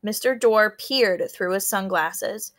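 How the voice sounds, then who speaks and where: A woman's voice: mid-range voice, takes it slowly, a bit bright. A woman schoolteacher reading a children's book aloud and explaining it directly to her pupils. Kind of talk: children's read-aloud with teaching commentary.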